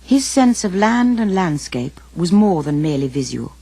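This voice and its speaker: A woman's voice: fairly low pitch, very animated, moderate pace, warm tone, a little hiss or hum. A woman reading scripted documentary narration and quoted passages as the film's narrator.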